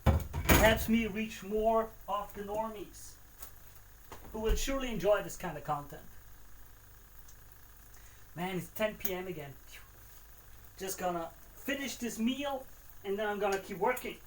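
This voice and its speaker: A man's voice: sits high, unhurried, pauses often, slight background noise, rough recording. A man with an Austrian German accent, chatting casually on a cooking livestream, rambling and repeating words as he talks to himself. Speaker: livestreaming home cook.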